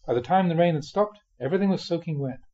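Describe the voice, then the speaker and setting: Mellow, slightly husky man reading aloud. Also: a little high, fast talker, muffled recording. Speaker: reader of Buddhist teachings. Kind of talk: expressive audiobook reading of a spiritual memoir.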